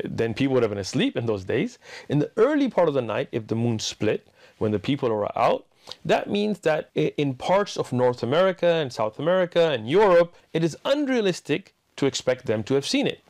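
A man's voice: medium pitch, darkish tone. A middle-aged man giving an explanatory religious argument in an emphatic way, posing rhetorical questions. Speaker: Islamic speaker. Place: indoors, room lined with bookshelves.

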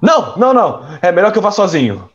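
A man's voice: sits high, huge pitch swings, fast talker, lo-fi sound. A young man playing an evasive, troubled rich playboy in an audio drama. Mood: alarmed. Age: young adult. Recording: music under the voice.

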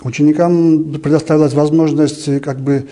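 A man in his fifties answering interview questions as a teacher, halting and rephrasing, indoors on a handheld microphone. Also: a little high, level tone, mellow. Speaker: art teacher being interviewed. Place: indoor art gallery. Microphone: reporter's handheld microphone.

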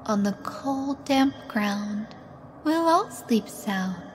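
An actress playing the ghost of a young nurse from an old time, singing a soft lullaby in an audio drama. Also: female voice, a little high, expressive, takes it slowly, slight background noise. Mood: haunting.

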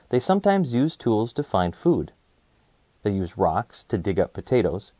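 Animated man reading aloud to children. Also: medium pitch, no room sound. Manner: animated, clearly enunciated storytelling for young listeners. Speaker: read-aloud host for children. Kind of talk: expressive read-aloud of a children's nonfiction book.

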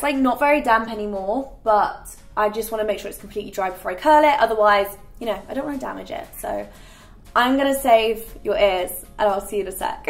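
A young woman, chatting casually in a get-ready-with-me vlog and filling her talk with "like". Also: fairly high pitch. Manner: chatty, filler-heavy with frequent "like". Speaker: beauty vlogger. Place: bright living room set.

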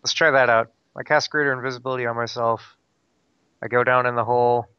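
A man playing a tabletop game over a video call, easygoing and quick to laugh. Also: mid-range voice, level tone, pushed and bright, clean tone, dry recording, muffled recording. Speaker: player. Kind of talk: casual tabletop game chat.

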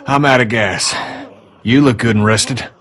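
An actor playing a grieving, blunt survivor with a Southern US accent in a video game, gruff, folksy and halting. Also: male voice, medium pitch, a bit bright, lightly acted. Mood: concerned.

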